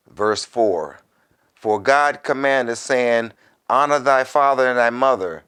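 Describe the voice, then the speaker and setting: A man, a minister, reading scripture deliberately in a preacherly way and adding quieter, conversational commentary. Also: fairly low pitch, clean tone. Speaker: minister, Bible teacher.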